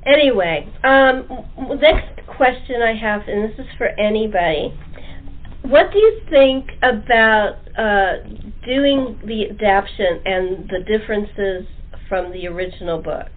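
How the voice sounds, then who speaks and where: A woman's voice: medium pitch, unhurried. Tinny phone-line American woman host, chatty. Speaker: talk show host. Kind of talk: casual chat interview.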